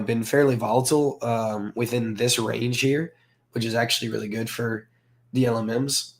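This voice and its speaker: A hoarse man from the southern United States, hosting a casual livestream and chatting with viewers. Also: mid-range voice. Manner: rambling, filler-heavy, greets chat viewers. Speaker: crypto livestream host.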